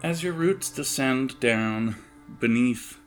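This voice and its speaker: A man improvising tabletop game narration as game master, in a halting, wordy, descriptive manner. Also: medium pitch, faint music behind.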